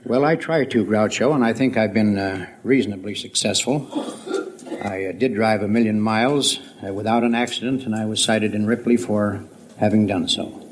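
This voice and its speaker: A man's voice: fairly low pitch, normal speed. An American man from Pennsylvania, a contestant answering formally and precisely on a 1950s radio quiz. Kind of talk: polite 1950s radio interview. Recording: faintly noisy 1950s radio recording.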